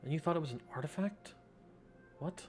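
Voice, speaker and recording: A man's voice: on the high side, animated, brisk, faint music behind. A man doing casual let's play commentary as a streamer, with wry, joking asides. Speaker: video game streamer.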